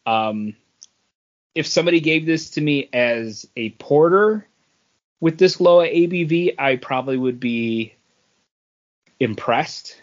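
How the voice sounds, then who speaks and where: A man co-hosting a casual podcast, reviewing in a halting, measured way. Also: on the high side, expressive. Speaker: podcast co-host. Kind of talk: casual beer review chat. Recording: dull lo-fi audio.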